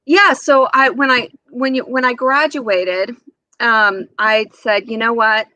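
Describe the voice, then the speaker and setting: An animated woman in her forties shares stories as a guest in a casual interview. Her voice is bright and lively in delivery, and she slips into quoted dialogue as she goes. The sound is dry, with very little room on it. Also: a little high, slow pace, no room sound. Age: 40s. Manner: animated, acts out remembered dialogue. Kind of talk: casual anecdotal storytelling.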